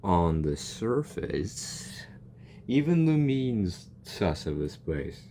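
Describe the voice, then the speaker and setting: A man's voice: on the low side, sing-song, takes it slowly, dark tone, a little hiss or hum. A young man reacting to a video game, rambling and joking and tripping over his words. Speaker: gaming reaction youtuber. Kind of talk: casual reaction commentary.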